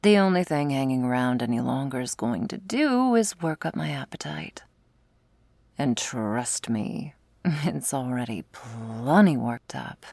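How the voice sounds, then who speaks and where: A sardonic female vampire, played by a woman, murmurs to her prey in a deep voice full of creak. She is wry, possessive and secretly wistful, drifting toward breathy whispers. The ASMR roleplay sound is very close and dry. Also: a little mellow, dry recording, heavily acted. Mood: resigned, teasing. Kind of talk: intimate ASMR roleplay, scripted monologue to listener. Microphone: close, dry studio mic.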